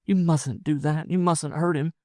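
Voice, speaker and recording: A man voicing an anxious, tender young woman in love in an audiobook, soft, hesitant and pleading. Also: a little high, fairly even intonation, normal speed, no echo, clean studio sound, voice pushed up, lightly acted. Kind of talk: audiobook character dialogue.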